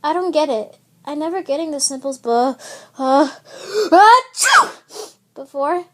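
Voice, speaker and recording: A woman's voice: high-pitched, expressive, background noise. A woman voicing a sick young girl who keeps sneezing, in a fan-made skit. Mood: unwell. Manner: slow, sniffly, sneezing often. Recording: music playing behind.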